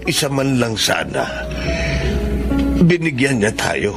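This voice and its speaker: A man's voice: mid-range voice, sing-song, slow pace, vocal fry, loud music behind the voice, full character voice. An actor playing a humble, sentimental old male street vendor in a Filipino radio drama, speaking with emotion. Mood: sorrowful. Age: older.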